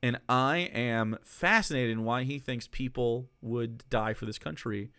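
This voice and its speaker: A male streamer reacts to clips in casual commentary, sarcastic and profane, often laughing between remarks. His voice sits at a middle pitch and is recorded dry and close. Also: unhurried, fairly bright tone, clear, resonant tone, no echo.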